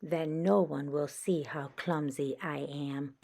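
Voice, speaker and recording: A woman's voice: low voice, steady tone, slow, gravelly, mellow, full character voice. Storybook young bat girl, played by a woman: earnest, childlike, a little confused. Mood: wistful.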